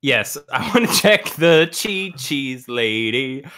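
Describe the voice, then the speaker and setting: Bright, slow-paced man reading comedy aloud. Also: mid-range voice, very animated. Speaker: podcast panelist reading documents aloud.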